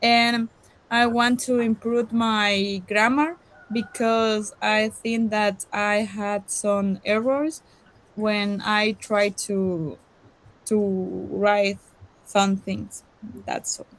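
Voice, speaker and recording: A woman in her twenties from El Salvador introducing herself to her English class, speaking with long pauses. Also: mid-range voice, little pitch movement, poor audio. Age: 20s. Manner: slow, pausing between words. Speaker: student.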